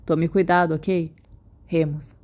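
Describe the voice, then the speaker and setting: A woman's voice: deep voice, level tone, slow pace, a little mellow. A woman with a Brazilian accent giving a scripted audiobook-style narration of fan fiction. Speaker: podfic narrator.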